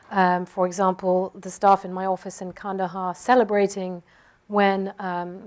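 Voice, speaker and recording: A woman's voice: medium pitch, a little mellow. A woman speaking on a panel discussion, answering a question with many hesitations and restarts.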